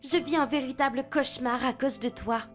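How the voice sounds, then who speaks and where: A woman acts out a proud teenage schoolgirl from a dubbed anime, earnest and lovestruck. The voice is high and her wording formal. Soft music plays behind. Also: fairly even intonation, a little fast, natural voice. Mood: anguished. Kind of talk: French anime fan dub acting.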